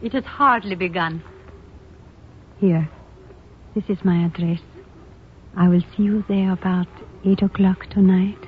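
A woman's voice: on the low side, fairly even intonation, unhurried, long pauses, lightly acted. A woman playing a seductive, mysterious European femme fatale in a 1950s radio drama, with a Continental accent, formal phrasing and a coolly teasing, forward manner. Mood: coolly confident. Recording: tinny, narrow, hissy old radio recording.

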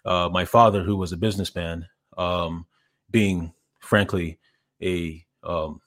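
Deep-voiced hesitant man from Ghana. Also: steady tone, slow, fairly bright tone. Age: 30s. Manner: hesitant, many fillers and restarts, laughs easily. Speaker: podcast guest, writer. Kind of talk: casual interview chat.

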